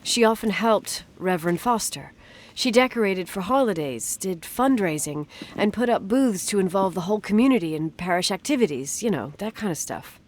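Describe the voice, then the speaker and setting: A woman's voice: medium pitch, lively intonation, moderate pace, natural voice. A woman playing a wry, guarded character in a game, speaking in a hesitant, dryly sarcastic, naturalistic conversational way. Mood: reflective, wry. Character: wry, guarded adult woman recalling her childhood.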